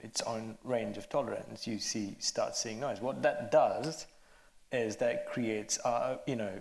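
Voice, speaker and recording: A man's voice: mid-range voice, fairly even intonation, slow, gravelly, a little mellow, a little hiss or hum. A man, a cinematographer, informally explaining technical matters on a panel, recorded with roomy, echoing sound.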